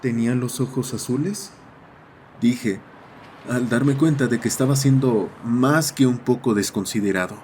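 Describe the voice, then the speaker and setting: A man playing a schoolboy who narrates his own horror story in a measured, serious first-person style. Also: mid-range voice, a little mellow, a little hiss or hum, close to an everyday voice. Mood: sombre.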